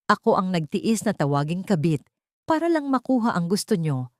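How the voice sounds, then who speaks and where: Woman acting a sharp-tongued, resentful mistress. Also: lowish voice, very animated, normal speed, dry recording, studio quality. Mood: indignant, sharp. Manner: sharp, indignant. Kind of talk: dramatic audio drama dialogue.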